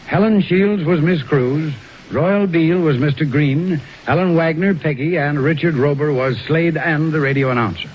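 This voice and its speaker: A man's voice: fairly high pitch, moderate pace. A man announcing formally in the style of 1940s radio. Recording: dull, noisy old radio broadcast recording.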